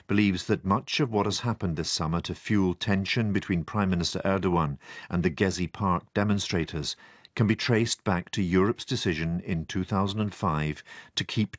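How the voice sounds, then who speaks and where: A man reporting the news, reading measured, formal narration and putting questions to interviewees. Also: low voice, no room sound, studio quality.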